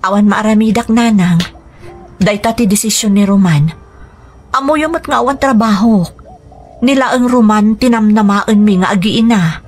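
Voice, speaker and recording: A woman's voice: mid-range voice, moderate pace, long pauses, a little mellow, close to an everyday voice. A woman with an Ilocano accent playing an apologetic, submissive daughter in a radio drama, with music underneath. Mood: apologetic, resigned.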